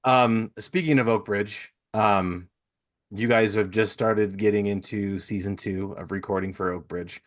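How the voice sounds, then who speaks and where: Man, low-pitched voice, podcast interviewer, casual chat, rambling, hesitant, apologetic. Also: steady tone, measured pace.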